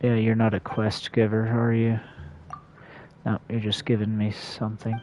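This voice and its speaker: Male game livestreamer, mid-pitched, warm dark tone, casual read-aloud and commentary, slight background noise. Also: completely flat delivery, normal speed.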